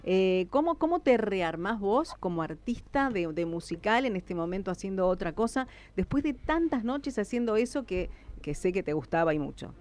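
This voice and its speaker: A woman's voice: medium pitch, lively intonation, normal speed, hardly any pauses, a little mellow. An Argentine woman co-hosting a radio interview, chatty and effusive as she asks her questions. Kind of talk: casual interview chat.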